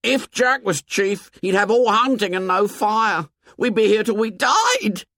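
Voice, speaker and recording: Scared, sensible schoolboy, high voice, pleading, insistent, colloquial, storybook reading. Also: male voice, very animated, measured pace, pushed and bright, no room sound, studio quality, exaggerated voice. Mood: frightened, exasperated. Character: frightened, pleading schoolboy, working-class grammar, anxious and sensible.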